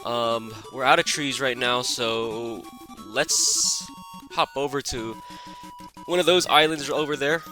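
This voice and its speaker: A young man with a raspy, stuffed-up voice from a cold, chatting casually as he plays a game. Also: mid-range voice, slow. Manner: rambling and relaxed, lots of fillers. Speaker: gaming channel host. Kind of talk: casual gameplay commentary. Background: background music.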